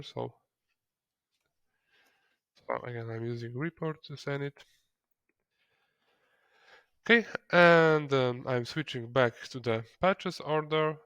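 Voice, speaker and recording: A non-native English-speaking man narrating a software tutorial step by step, in a hesitant way. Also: mid-range voice, creaky, dry recording. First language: not English. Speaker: software trainer.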